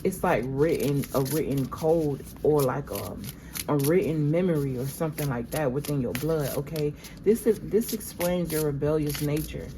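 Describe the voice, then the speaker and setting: Low-voiced stuffy woman reading tarot. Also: normal speed, noisy background. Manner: conversational, meandering, addresses viewers as "y'all". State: stuffy from allergies. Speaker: tarot reader.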